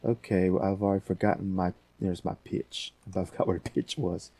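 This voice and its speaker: Low, gravelly man giving a casual tutorial. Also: fairly even intonation, a little fast, dark tone, dry recording. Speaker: audio editing tutorial host. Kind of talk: casual screen-demo tutorial, thinking aloud.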